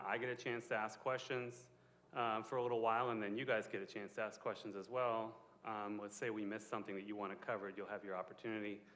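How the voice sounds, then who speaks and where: A man's voice: lowish voice, bright, forceful tone, a little hiss or hum. A man hosting a live on-stage interview at an event, conversational and a bit apologetic, speaking into a handheld mic. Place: event space with a small audience. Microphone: handheld microphone. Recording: roomy live venue.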